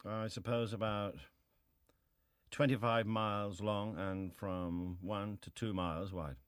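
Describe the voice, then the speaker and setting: An actor playing a sea captain who gives restrained, matter-of-fact testimony in a dramatized historical hearing. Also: male voice, fairly low pitch, little pitch movement, takes it slowly, clear, resonant tone, no room sound, studio quality. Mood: terse, restrained.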